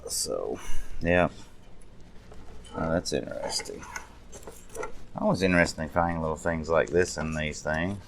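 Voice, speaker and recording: A man with a low-pitched voice talks casually through restoration work. He sounds unscripted and folksy, often half talking to himself as he handles what is in front of him. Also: measured pace, vocal fry, long pauses, slight background noise. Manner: unscripted, muttering to self while working. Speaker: radio restoration hobbyist, presenter. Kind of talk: casual hands-on restoration walkthrough.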